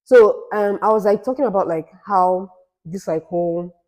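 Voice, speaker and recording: A woman's voice: medium pitch, normal speed, a little mellow. A young woman from Nigeria co-hosting a casual podcast chat, talking emphatically and rambling with restarts. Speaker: podcast co-host.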